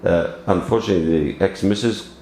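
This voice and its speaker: A man's voice: low voice, a little fast, mellow. A man in his fifties from Wales, an actor chatting casually in an interview in a rambling, jokey way. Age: 50s. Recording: echoey room with faint noise.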